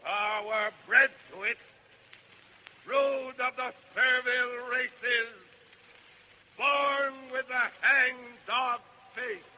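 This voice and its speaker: Preachy prophet guy in old radio play, super high sharp ringing voice, drawn out slow, stern, bit of hiss. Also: fairly even intonation, exaggerated voice. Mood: scornful rebuke. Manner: slow, ringing, incantatory, oratorical. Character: fiery male orator, stern prophetic leader haranguing a crowd. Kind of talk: declamatory old radio verse drama. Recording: noisy old radio recording.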